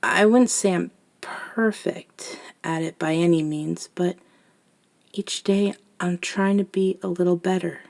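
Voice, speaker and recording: A woman voicing a gentle elder vampire in an ASMR roleplay, speaking softly and breathily, often near a whisper, soothing and reassuring. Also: fairly low pitch, steady tone, gravelly. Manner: slow, soothing, reassuring, with long pauses. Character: gentle elder female vampire mentoring a nervous young one. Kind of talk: soft-spoken ASMR roleplay monologue.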